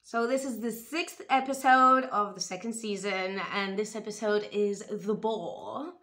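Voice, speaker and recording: A woman's voice: medium pitch, measured pace, faint music behind. A young woman from Spain, raised in the US, chatting animatedly to camera from a room at home. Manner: animated, expressive, gestures while talking. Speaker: vlogger reviewing a TV show. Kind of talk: casual chatty commentary to camera. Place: small room at home, indoors. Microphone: webcam or camera close in front.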